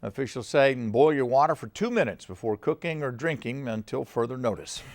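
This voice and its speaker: A man's voice: mid-range voice, expressive, a little fast. A man reading scripted television news as an anchor in a studio.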